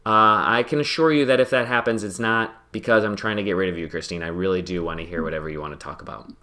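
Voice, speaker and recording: A man with a lowish voice hosts a casual gaming podcast. He rambles, pokes fun at himself and laughs often as he talks with a friend. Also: steady tone, fast talker, sharp tone. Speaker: podcast host playing a game. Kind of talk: casual chat while gaming.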